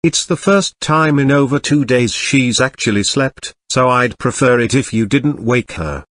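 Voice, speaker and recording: A text-to-speech man's voice playing a polite, snobbish young upper-class English gentleman in a quiet, formal, measured way. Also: medium pitch, expressive, no room sound, clean studio sound, pitched above the performer's own voice. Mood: calm, formal. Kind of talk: text-to-speech story reading.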